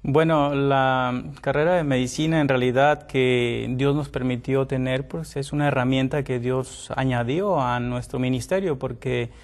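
A middle-aged man from Mexico, a naturopathic doctor and former pastor, speaking politely as a TV guest with many fillers and pauses. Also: medium pitch, fairly even intonation. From Tamaulipas, Mexico. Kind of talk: TV interview guest.

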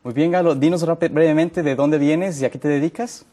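A man's voice: a little high, fairly even intonation, fairly quick, mellow. A man hosting a health TV program in a studio, friendly and plain-spoken. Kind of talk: TV talk show hosting.

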